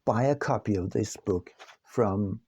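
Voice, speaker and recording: A man reading his own book aloud as scripted narration, in a deliberate, measured manner with frequent pauses. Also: lowish voice, lo-fi sound.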